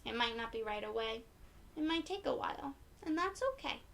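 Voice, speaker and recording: A woman reading a picture book aloud to young children in a gentle, encouraging way, speaking directly to them. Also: on the high side, animated, fairly quick, vocal fry, a little hiss or hum. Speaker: children's read-aloud storyteller.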